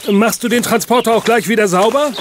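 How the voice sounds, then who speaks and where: An actor playing an affable boss at a horse reserve in a children's audio drama. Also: male voice, sits high, brisk, a little hiss or hum, close to an everyday voice. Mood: amused, affable.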